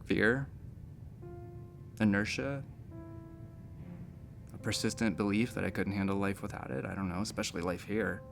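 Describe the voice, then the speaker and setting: An actor playing a wry, self-deprecating space station doctor in a sci-fi audio drama, chatty and a little defensive. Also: male voice, fairly low pitch, steady tone, moderate pace, vocal fry, pauses often, soft background music. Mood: subdued, candid.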